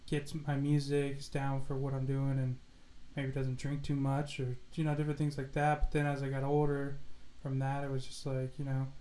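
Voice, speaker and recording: A man's voice: mid-range voice, monotone, moderate pace, few pauses, dark tone. A man co-hosting a casual livestream chat, joking and telling stories while acting out quotes. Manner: joking, storytelling, acts out quotes. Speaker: co-host. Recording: echoey room.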